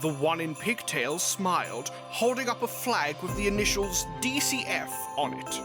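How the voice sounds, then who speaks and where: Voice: mid-range voice, big pitch swings, moderate pace, over loud music. A narrator reading a scripted fantasy story in a storybook style.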